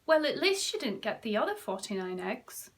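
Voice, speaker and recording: A man's voice: very high voice, big pitch swings, normal speed, a bit bright, voice pushed up, heavily acted. A man reading a children's story, voicing a haughty, thoughtless young princess with a prim manner. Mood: dismissive.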